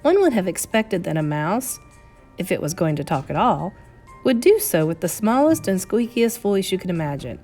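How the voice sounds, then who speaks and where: A woman reads a children's story aloud with expressive delivery. Her pitch moves freely up and down as she narrates, and music plays behind her voice. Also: normal speed. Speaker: storybook reader.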